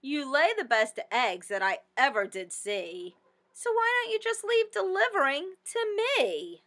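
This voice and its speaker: A woman voicing a calm, doubtful male Easter bunny in a storybook, with questioning delivery. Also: sits high, unhurried, voice pushed up, heavily acted. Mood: doubtful, advising.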